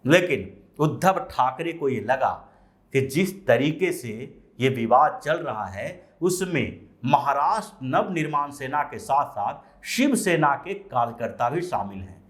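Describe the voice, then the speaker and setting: A man presenting news commentary, explaining the story in a deliberate way with drawn-out phrases and pauses. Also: mid-range voice, expressive.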